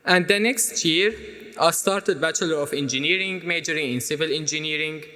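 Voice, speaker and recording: A young man with a Syrian Arabic accent delivers a prepared personal speech in a reverberant hall. His voice sits on the high side for a man and has a bright, projected edge. He is a native Arabic speaker in his twenties. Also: moderate pace. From Aleppo, Syria. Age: 20s. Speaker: student speaker at an event.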